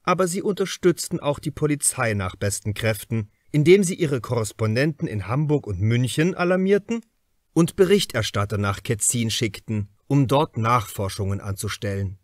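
A male audiobook narrator with a very animated, mid-pitched voice in a dry recording. He reads crime fiction deliberately, stressing key words for drama. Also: no echo. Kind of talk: scripted literary narration of a crime novel.

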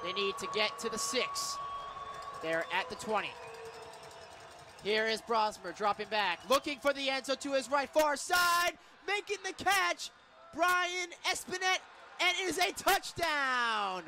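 American guy doing radio football play-by-play, really high voice, excitable, kinda sharp and forceful. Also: a little slow, slight background noise. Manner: excitable, builds to emphatic calls on big plays.

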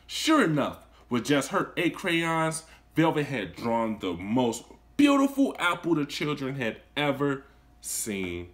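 A man in his thirties, a kindergarten teacher, reading a picture book aloud to children in a small room, close to a phone camera. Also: slow. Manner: expressive storytelling with lively swings in pitch and volume; warm, encouraging talk to young children. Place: small indoor room, seated on a couch.

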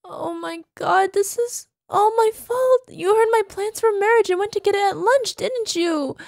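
A woman's voice: very high voice, normal speed, creaky, a little mellow, no echo, clean studio sound. A woman voicing an obsessive, unstable yandere girlfriend in a dramatic ASMR roleplay, sweet and intense with cloying pet names. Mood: tearful guilt.

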